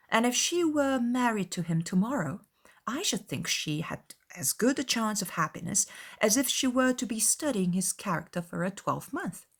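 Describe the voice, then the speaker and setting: A woman voicing a pragmatic, unsentimental young gentlewoman giving matter-of-fact advice in a dramatized audiobook. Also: mid-range voice.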